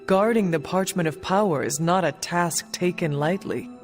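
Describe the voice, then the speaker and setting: An actress playing a poised, curious warrior woman in a video game, speaking in a formal, measured way. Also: fairly low pitch, faint music behind, lightly acted. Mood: formal, inquisitive. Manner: formal, measured, few contractions. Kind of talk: video game cutscene dialogue.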